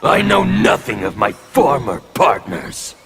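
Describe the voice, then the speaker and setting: An actor playing a menacing male anarchist warrior in a video game, with scornful, threatening delivery and a gravelly voice. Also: medium pitch, sing-song, a little slow, loud music behind the voice. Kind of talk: video game intro dialogue.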